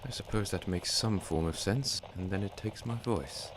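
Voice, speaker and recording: A man's voice: low voice, normal speed, lightly acted. Man playing a haunted, fatalistic victim, faint noise. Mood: resigned, haunted. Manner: formal, theatrical, resigned. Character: haunted, guilt-ridden, fatalistic man hunted by a monster. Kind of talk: audio drama acting.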